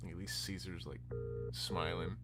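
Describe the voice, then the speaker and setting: A man's voice: low voice, fairly even intonation, unhurried, heavy background noise. A man giving casual, slangy live reaction commentary as a streamer, with swearing and joking asides. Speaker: streamer reacting to horror videos.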